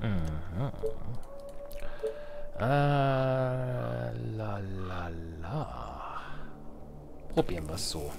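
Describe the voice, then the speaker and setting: A man's voice: fairly low pitch, lively intonation, drawn-out words, gravelly, pauses often, a little mellow, a little hiss or hum. A man gives casual let's play commentary, thinking aloud in a colloquial way with playful asides. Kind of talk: casual game commentary while playing.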